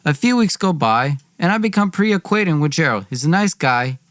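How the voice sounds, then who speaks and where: A man's voice: a little high, expressive, a little fast, fairly bright tone, no echo, clean studio sound. A young man telling a dramatic personal story as a podcast host, theatrical and slangy in his delivery.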